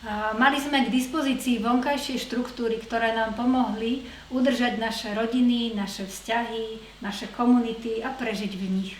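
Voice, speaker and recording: This is a woman interpreting a lecture phrase by phrase, in a measured, slightly hesitant way with fillers. Her voice is somewhat high for a woman. The room echoes, with a faint hiss of noise behind her. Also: fairly even intonation. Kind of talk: consecutive interpreting.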